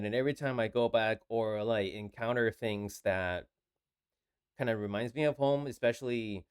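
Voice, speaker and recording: A man from Taiwan co-hosting a podcast, telling stories casually and haltingly, often circling back to rephrase. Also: lowish voice, clean tone, no room sound, studio quality. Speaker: podcast co-host. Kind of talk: casual conversational storytelling.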